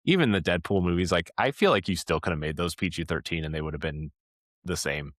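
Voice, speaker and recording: Man, low-pitched, podcast host, casual discussion, rambling, frequent check-ins, dry close sound. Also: fast talker, fairly bright tone, no room sound.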